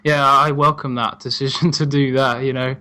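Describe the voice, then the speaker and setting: A man chatting casually as a guest co-host, agreeable and quick to laugh. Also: medium pitch, level tone, bright, forceful tone. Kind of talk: casual review chat.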